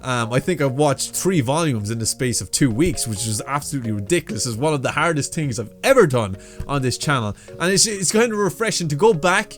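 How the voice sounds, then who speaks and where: A man's voice: medium pitch, animated, brisk, few pauses, faint music behind. A man hosting a reaction video, chatting casually and informally to his viewers, whom he treats as friends. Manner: informal, addresses viewers as dudes.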